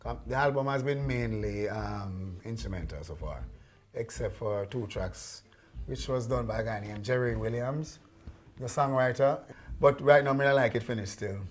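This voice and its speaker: A man's voice: mid-range voice, moderate pace. A man telling studio stories in a casual, rambling way, with many fillers and restarts. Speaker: musician. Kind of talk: casual storytelling.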